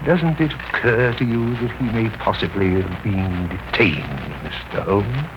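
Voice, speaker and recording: A man as cold criminal mastermind, formal, deliberate, menacing, low voice, noisy old radio drama recording. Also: natural voice. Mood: guarded, sly. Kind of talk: 1930s radio acting. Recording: noisy, muffled 1930s radio broadcast.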